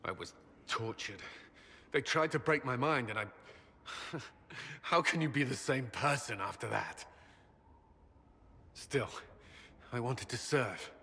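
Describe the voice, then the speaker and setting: An actor playing an earnest, troubled military commander in a game, speaking with formal, halting intensity. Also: male voice, medium pitch, expressive, pushed and bright, slightly rough, full character voice. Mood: anguished, bitter. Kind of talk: dramatic game voice acting. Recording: long reverb, noticeable noise behind.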